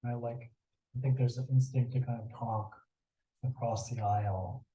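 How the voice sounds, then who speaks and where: A man on a panel speaks in an unhurried, thoughtful way. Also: medium pitch, steady tone, mellow, a little hiss or hum. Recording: roomy echo, distant mic.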